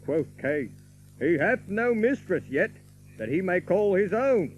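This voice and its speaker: An actor playing a merry young outlaw who recites an old romantic ballad in lilting, theatrical archaic verse. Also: male voice, high voice, sing-song, measured pace, dull audio, slight background noise. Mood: lilting storytelling.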